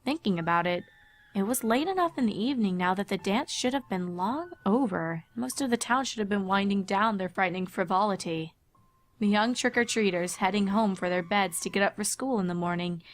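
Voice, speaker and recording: A woman reading scripted dramatic story narration in a measured, expressive way. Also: mid-range voice.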